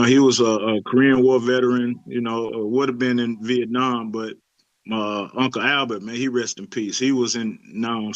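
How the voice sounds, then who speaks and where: A man's voice: medium pitch, no room sound, dull audio. A middle-aged man, a youth pastor, talking casually in an interview, laid-back, with many fillers and restarts. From Lebanon.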